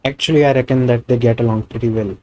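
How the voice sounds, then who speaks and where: A man's voice: mid-range voice, fairly even intonation, quick pace, warm tone. A man with an Indian accent, a native Hindi speaker, giving casual live commentary as a game streamer. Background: game music.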